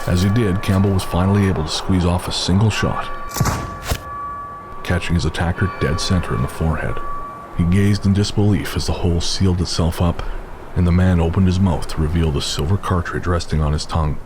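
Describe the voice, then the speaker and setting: A man's voice: deep voice, steady tone, fairly quick, background noise. A man narrating a western horror story in a dramatic, scripted audio drama style.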